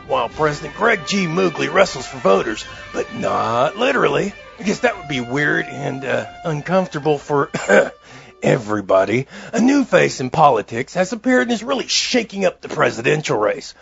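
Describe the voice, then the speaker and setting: A man playing an excitable, pompous TV election news anchor with theatrical emphasis, in a comedy news parody. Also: fairly high pitch, moderate pace, creaky, exaggerated voice. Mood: mock-serious. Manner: theatrical broadcast delivery with swooping emphasis. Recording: dull lo-fi audio, faint music behind.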